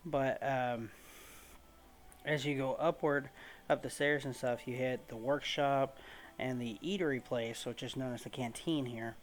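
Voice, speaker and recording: A man's voice: mid-range voice, steady tone, unhurried. A man giving casual, rambling let's play commentary as he hosts a gaming video, informal in his wording. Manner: rambling, informal, mild swearing.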